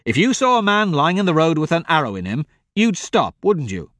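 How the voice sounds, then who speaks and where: A cheerful young warrior, played by a man argues kindly and brightly, his voice rising and falling with lively swings. He sounds easygoing and sure things will work out. The recording is close and dry. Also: a little high, fairly quick, no room sound, voice pushed up. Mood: easygoing upbeat. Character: good-natured, easygoing young male swordsman.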